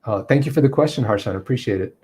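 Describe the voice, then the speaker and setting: Mellow-voiced man explaining English. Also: medium pitch, very fast, husky. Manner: explanatory, thinking aloud with fillers. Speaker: English teacher. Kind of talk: answering viewer questions in a lesson.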